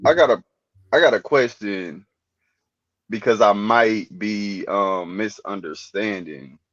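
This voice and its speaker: A man calling in, heard over a dull, lo-fi line with no room sound at all. His pitch is ordinary. He talks earnestly and haltingly, full of fillers. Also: a bit bright, no room sound. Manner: hesitant, filler-heavy, earnest. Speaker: caller. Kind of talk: casual call-in chat.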